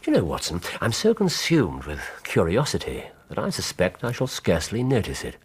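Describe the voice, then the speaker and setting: Shrewd english gentleman detective, really swoopy expressive delivery, precise, radio play, bit of background noise. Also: fairly low pitch, a little fast. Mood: wry, absorbed. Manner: incisive, theatrical, precise. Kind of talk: radio drama acting.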